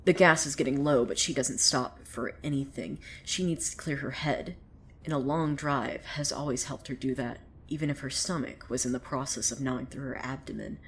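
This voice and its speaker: Actress doing a sardonic lady narrator, low voice, gossipy and opinionated, fiction podcast storytelling. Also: normal speed. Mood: wry, suspenseful. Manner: sardonic, conversational, swearing asides. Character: opinionated, sardonic female storyteller who gossips about townsfolk. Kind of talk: dramatic first-person storytelling.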